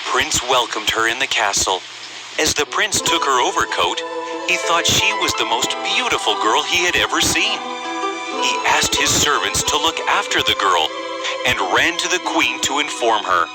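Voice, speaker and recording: A man's voice: medium pitch, sing-song, moderate pace, low-quality recording. A man narrating a scripted fairy tale as a storyteller, with music behind him.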